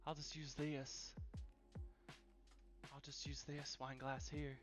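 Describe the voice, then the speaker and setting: A man's voice: on the high side, flat delivery, takes it slowly, over music. A man hosting a casual live cooking stream from his home kitchen, chatting in an easygoing way and repeating phrases for emphasis. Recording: dull, lo-fi livestream audio.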